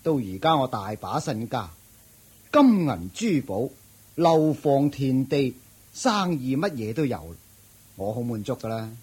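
Husky, animated sly newly rich man. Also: mid-range voice, a little slow, full character voice. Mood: reflective, aggrieved. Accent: Hong Kong Cantonese. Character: newly rich man, once scorned, slyly plotting mischief. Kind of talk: Buddhist radio drama acting.

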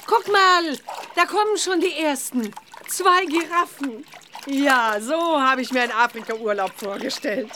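A woman's voice: sits high, measured pace, pushed and bright, a little hiss or hum. A woman playing an easygoing middle-aged witch mother on holiday in a children's audio play. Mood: delighted.

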